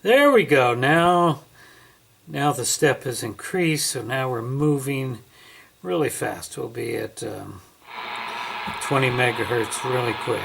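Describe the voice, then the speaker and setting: A man's voice: mid-range voice, lively intonation, slow, a bit bright. A man giving a casual, unscripted hands-on product review, thinking aloud as he works indoors at home. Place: inside a house, under a kitchen-type cupboard. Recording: clear radio static and background hiss.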